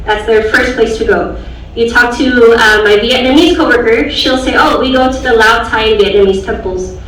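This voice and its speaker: A woman's voice: a little high, fairly even intonation, normal speed, fairly bright tone. A woman who works in community mental health outreach, talking in a chatty, upbeat way on a panel. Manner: chatty, upbeat, laughs easily. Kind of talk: casual panel talk. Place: large auditorium stage. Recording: echoey hall from far off, faint noise.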